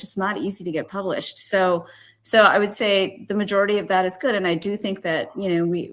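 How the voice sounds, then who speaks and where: A woman's voice: lowish voice, fairly bright tone. A woman guest on a podcast, talking earnestly with frequent "you know" fillers. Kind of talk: conversational podcast discussion.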